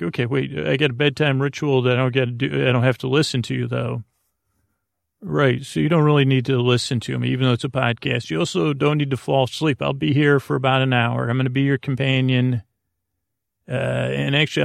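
Male sleep-podcast host: gravelly creak, dry close sound, soothing and rambling, halting delivery full of tangents. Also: medium pitch, no room sound. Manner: meandering, halting, trails off into tangents.

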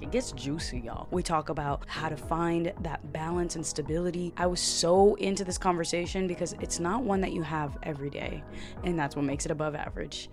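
A woman's voice: lowish voice, darkish tone. Sassy woman podcast host in her thirties. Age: 30s. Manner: sassy and playful, slangy asides. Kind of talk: podcast intro and interview.

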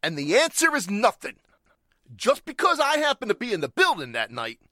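A man acts out a slick, shady racketeer from a forties radio crime play. His slangy tough-guy talk swings up and down in pitch and is quick to bristle, on a dry, close recording. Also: huge pitch swings, sharp tone, dry recording, heavily acted. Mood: indignant, defensive. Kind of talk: 1940s radio crime drama acting.

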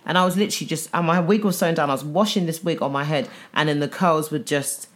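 A young British woman co-hosting a podcast in casual, unfiltered chat, expressive and slangy. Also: low voice, fast. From United Kingdom. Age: young adult. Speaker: podcast co-host.

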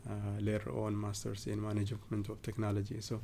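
A man from southern Ethiopia, a guest in a podcast interview, talking casually with many pauses and fillers. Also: lowish voice, monotone, creaky, dark tone. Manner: hesitant, many fillers and restarts.

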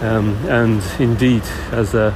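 A middle-aged man leaving a casual voice message for a podcast while on the move, hesitant and thinking aloud with many pauses. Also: lowish voice, flat delivery. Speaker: podcast caller. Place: on the way to work. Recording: voice message with loud travel background noise.

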